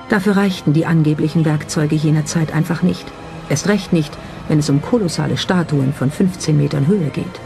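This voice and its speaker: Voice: medium pitch, creaky, mellow. A narrator reading scripted documentary commentary in German voice-over style. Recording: voice over background music. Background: background music.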